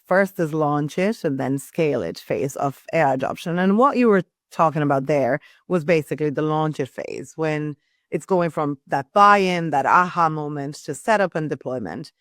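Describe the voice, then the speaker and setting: A woman's voice: deep voice, moderate pace, no room sound, studio quality. A woman hosting an unscripted panel chat, conversational and inquisitive as she addresses guests and viewers. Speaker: host, panel moderator. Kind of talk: unscripted moderating of a fireside chat.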